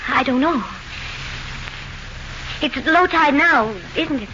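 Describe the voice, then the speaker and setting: A woman playing an anxious young bride in a 1940s radio mystery, speaking in a hesitant, halting, insistent way. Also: sits high, pushed and bright, full character voice. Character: anxious young bride haunted by first wife's death. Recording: noisy, muffled old radio recording.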